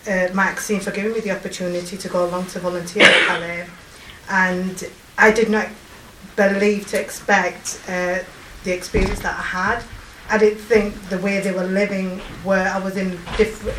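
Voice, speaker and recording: A woman in her forties with a strong Yorkshire accent, telling of her volunteer work in a halting, emotional way with many pauses and fillers. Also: mid-range voice, little pitch movement, bright, forceful tone, reverberant, recorded from a distance, a little hiss or hum, poor audio. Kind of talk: personal testimony.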